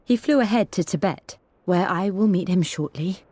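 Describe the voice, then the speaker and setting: A woman's voice: on the low side, moderate pace, darkish tone, no room sound, lightly acted. An actress playing a devoted English painter and wife, reading her private journal in a reflective, tender way for a game. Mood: quietly pleased. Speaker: voice actor. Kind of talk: dramatic reading of a personal letter or journal.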